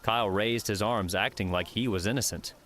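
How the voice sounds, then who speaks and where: A man's voice: lowish voice, brisk, a bit bright. A man reading scripted fiction narration as an audiobook narrator.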